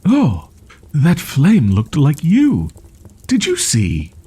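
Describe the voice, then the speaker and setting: Man playing a husky, halting, proud wolf-boy. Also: fairly high pitch, huge pitch swings, mellow, full character voice. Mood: wistful, awed. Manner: archaic formal diction, halting, flustered stammers. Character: shy, proud tsundere young wolf-boy, wary of humans. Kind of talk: audio roleplay, character acting, ASMR.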